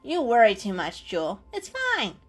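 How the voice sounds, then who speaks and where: A woman's voice: medium pitch, big pitch swings, moderate pace, breathy edge, soft background music. A woman voicing a cheeky, carefree male cat with a breezy, teasing manner in an animal storybook reading. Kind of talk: children's animal story character voice.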